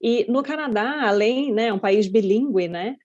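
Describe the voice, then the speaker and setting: A woman's voice: a little high, a little slow, darkish tone. Woman host, Brazilian, chatty questioner, slightly muffled lo-fi audio. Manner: chatty, rambling questions with frequent "né". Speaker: podcast host. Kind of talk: interview questions. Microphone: video call.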